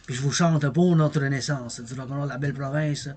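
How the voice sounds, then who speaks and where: Husky Québécois man, bilingual ramble. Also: medium pitch, steady tone, fairly quick, darkish tone. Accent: Québécois French. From Quebec. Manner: switches between French and English, repeats phrases, breaks into song. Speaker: vlogger. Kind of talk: bilingual rambling livestream monologue.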